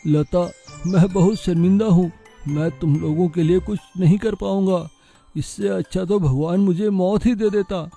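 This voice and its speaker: A man's voice: sits high, steady tone, normal speed, vocal fry, warm tone, faint music behind. A man voicing a humble young village husband in a cartoon story, respectful and earnest. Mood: despairing.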